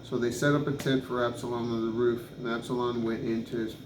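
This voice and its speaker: Male Bible reader, even-toned and halting on names, recorded in an echoey room with noticeable background noise. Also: mid-range voice. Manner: halting, stumbles over names and restarts. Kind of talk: reading scripture aloud.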